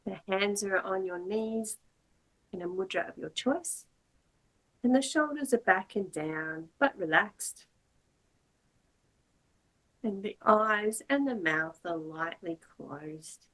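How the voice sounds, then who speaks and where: A woman yoga teacher giving slow, measured guided meditation instructions in short, gentle phrases with long pauses. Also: mid-range voice, low-quality recording.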